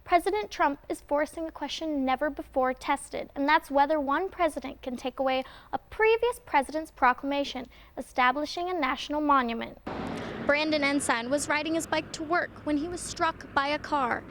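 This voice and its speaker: A woman's voice: high voice, moderate pace, fairly bright tone, no room sound. An American woman working as a TV news reporter, reading scripted broadcast narration with polished, emphatic delivery.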